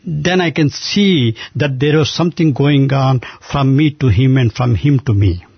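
An older man with an Indian accent, telling stories in an earnest, halting way with many restarts. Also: mid-range voice, vocal fry, breathy edge, no room sound. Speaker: guest. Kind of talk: conversational storytelling. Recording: dull muffled lo-fi sound.

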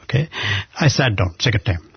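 An older man with an Indian accent, telling stories in an earnest, halting way with many restarts. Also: on the low side, animated, husky, no echo. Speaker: guest. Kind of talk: conversational storytelling. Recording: dull muffled lo-fi sound.

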